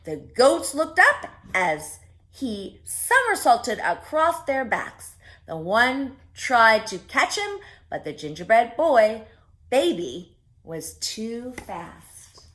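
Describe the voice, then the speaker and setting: A woman reading a picture book aloud for preschoolers, expressive and speaking directly to her young listeners. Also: a little high, slow pace. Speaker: children's story reader.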